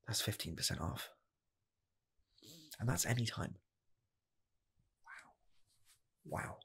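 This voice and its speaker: A man playing an earnest rocket scientist applying for a fast food job, in a soft, half-whispered ASMR roleplay voice that rambles and restarts. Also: lowish voice, slightly rough, heavily acted. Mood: delighted. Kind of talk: ASMR personal attention roleplay.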